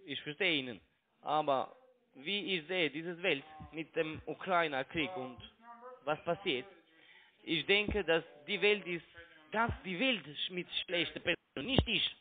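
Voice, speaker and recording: Youngish foreign guy w accent, highish voice, pushy broken speech, keeps repeating himself, tinny phone audio. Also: measured pace. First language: not German. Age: young adult. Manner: broken German, insistent, repetitive. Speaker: phone scam caller. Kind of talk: argumentative phone conversation. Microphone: telephone. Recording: tinny, narrow-band phone line.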